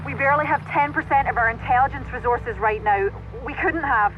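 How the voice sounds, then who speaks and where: A woman's voice: high-pitched, fairly quick, dull audio. A woman voicing an earnest, alert military intelligence operator in a video game, reporting formally through a radio filter. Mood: urgent, concerned. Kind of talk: video game cutscene voice acting. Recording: band-limited radio link, faint noise.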